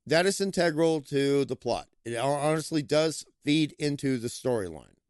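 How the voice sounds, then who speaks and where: A man giving a casual, playful film review, with lively emphasis that he stretches out for effect. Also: on the high side, clear, resonant tone, dry recording, clean studio sound. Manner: playful, animated, drawn-out emphasis. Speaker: movie reviewer.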